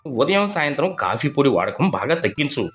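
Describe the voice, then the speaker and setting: Man playing stern tight-fisted husband, lively delivery. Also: mid-range voice, normal speed, faint music behind. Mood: bossy. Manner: scolding, questioning. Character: stern, tight-fisted middle-class husband, bank clerk, belittling his wife. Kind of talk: Telugu animated moral story acting.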